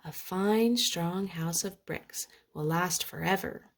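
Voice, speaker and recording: A woman's voice: on the low side, slow. A woman voicing an obliging male tradesman in a storybook, giving sensible, matter-of-fact advice. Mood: obliging, advising. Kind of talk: storybook character voice.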